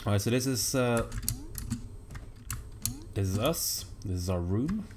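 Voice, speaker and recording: A man live-streaming a video game at his home computer, chatting casually and reading viewer messages aloud in a soft, friendly way. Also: lowish voice, expressive, a little slow, noisy background. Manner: soft-spoken, reads viewer chat aloud and thanks viewers warmly. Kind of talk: casual live-stream chat while gaming.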